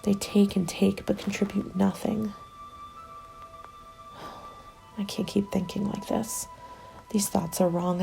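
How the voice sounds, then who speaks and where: A woman playing a starving, bitter former nurse surviving a zombie apocalypse, in a soft, hushed ASMR roleplay that drifts into whispers. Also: medium pitch, rough recording. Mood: bitter, conflicted. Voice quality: creaky, soft. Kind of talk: ASMR dramatic roleplay monologue. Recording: music under the voice. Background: music.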